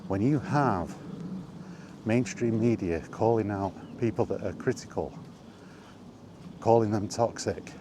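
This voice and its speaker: A man giving an unscripted opinion monologue in an emphatic, deliberate manner, leaning on rhetorical questions and repetition. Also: fairly low pitch, background noise. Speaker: video host sharing opinions on gaming.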